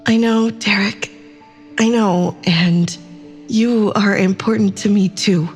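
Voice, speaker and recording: A woman's voice: mid-range voice, fairly even intonation, slow, soft background music, lightly acted. A woman playing an anxious, defiant crew member in an audio drama, speaking earnestly and with emotion. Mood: weary, defiant.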